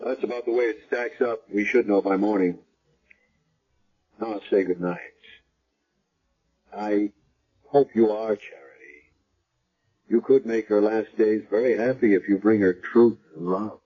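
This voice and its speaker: An actor playing a calm, probing hospital chaplain with a measured, matter-of-fact manner in radio drama style. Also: male voice, on the low side, slightly rough. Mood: calm, kindly. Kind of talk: 1970s radio drama acting. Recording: dull vintage radio recording.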